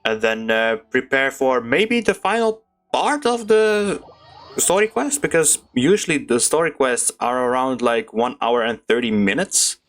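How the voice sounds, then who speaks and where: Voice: medium pitch, expressive, unhurried. A streamer giving casual, chatty and playful commentary while playing a video game. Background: faint game music.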